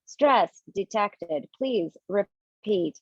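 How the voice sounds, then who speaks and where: A woman voicing a computer security system in slow, clipped announcements, as a reader in an online table read. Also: medium pitch, lively intonation, husky, no room sound, dull audio, full character voice. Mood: flat.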